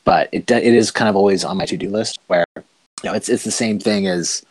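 A man with a Maritime Canadian accent speaks in a deep voice at a quick clip. He is a writer chatting casually as an interview guest, modest about his own work, in a dry, close sound. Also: dry recording. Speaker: comic book writer, podcast guest. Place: remote call recording.

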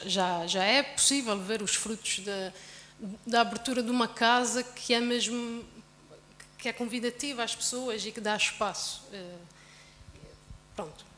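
Halting young woman in a hall. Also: fairly high pitch, fairly bright tone. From Ribeira Grande, Azores. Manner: halting, loses her thread, many restarts. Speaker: panelist. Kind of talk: panel discussion. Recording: long hall reverb.

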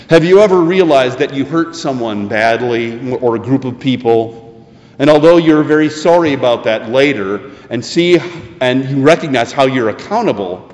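An American man preaching a sermon in an earnest, deliberate way, leaning on key phrases. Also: mid-range voice, darkish tone. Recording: echoey hall with long reverb.

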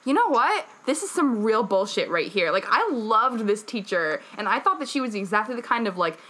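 A woman's voice: on the high side, big pitch swings, a bit bright. Chatty New England woman hosting a podcast. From Massachusetts. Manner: blunt, swears casually, quick asides. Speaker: podcast host. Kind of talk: casual podcast chat.

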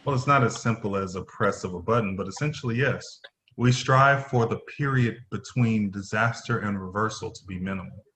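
A man playing a stern, formal middle-aged company executive in a play reading, halting and stumbling over his phrases. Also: fairly low pitch, a bit bright, a little hiss or hum, natural voice. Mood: formal, explaining. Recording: roomy echoing voice.

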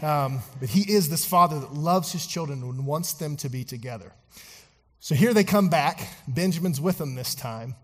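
A man's voice: a little high, animated, moderate pace. A man preaching a sermon in a church, talking conversationally with fillers and asides to the congregation. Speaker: pastor. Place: church sanctuary. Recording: long hall reverb from a church.

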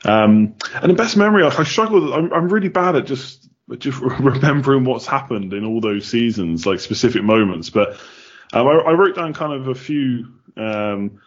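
A man's voice: mid-range voice, lively intonation, normal speed, husky, muffled recording. A man from the United Kingdom answering casually as a podcast guest, chatty with many ums.

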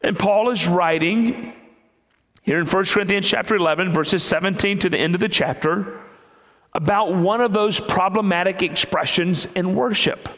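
A man preaching in a church sanctuary, his voice high for a man. He talks conversationally and keeps putting questions to the congregation. The sound carries a long, hall-like reverb. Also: a bit bright. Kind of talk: interactive sermon with congregation.

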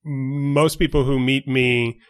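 Measured young man, careful wording. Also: medium pitch, little pitch movement, clear, resonant tone. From New York City. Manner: measured, precise wording with many fillers and restarts. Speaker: podcast co-host. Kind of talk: casual chat.

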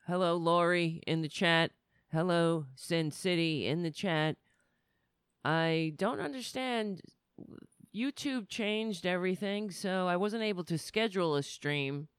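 Smooth-voiced chatty woman host. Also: deep voice, no room sound, studio quality. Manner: chatty, rambling, sardonic. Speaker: talk show host. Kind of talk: livestream political talk monologue.

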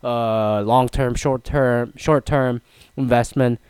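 A man's voice: mid-range voice, steady tone, takes it slowly, a little mellow, smooth, dry recording. A man hosting a casual solo talk show, rambling and self-mocking, with a nasal, stuffy voice and a throat that is half gone. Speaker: podcast host.

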